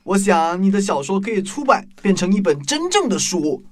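A man's voice: high-pitched, very animated, moderate pace. A man acting an admiring, encouraging prison guard in a children's radio play, earnest and enthusiastic. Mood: impressed. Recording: clearly noisy track with clicks.

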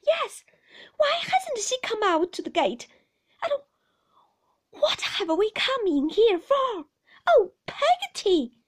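A woman voicing a frightened, tearful young boy in a storybook reading, breathy and anxiously questioning. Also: very high-pitched, huge pitch swings, moderate pace, mellow, voice pushed up, full character voice. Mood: insistent, upset.

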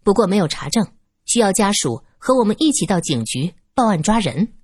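A woman voicing an earnest young man who speaks in a measured, somber way. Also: medium pitch, big pitch swings, husky, no room sound, studio quality.

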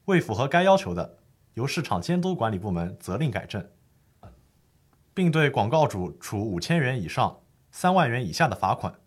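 A man's voice: medium pitch, big pitch swings, moderate pace, husky. A man playing an earnest lawyer who formally explains the law in a scripted educational radio drama. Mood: firm, explanatory. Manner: formal, didactic. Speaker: legal expert host.

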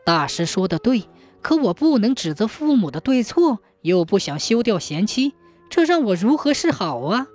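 A woman's voice: medium pitch, very animated, moderate pace, a little mellow. A troubled, dutiful young husband in a folk tale, read by a woman. He sounds meek and earnest, pleading helplessly, with music playing behind.

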